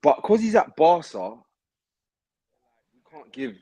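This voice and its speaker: A man's voice: a little high, takes it slowly, husky, lo-fi sound. A man co-hosting a casual football live stream, talking in bursts with repeated words and restarts as he defends his players. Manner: lively, repeats himself and restarts sentences. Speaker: co-host of football podcast.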